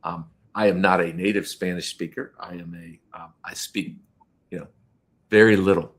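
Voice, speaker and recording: A man hosting a business podcast, introducing and questioning a guest in a halting way, with many fillers and restarts. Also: deep voice, steady tone, husky. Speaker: podcast host. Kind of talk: casual interview chat.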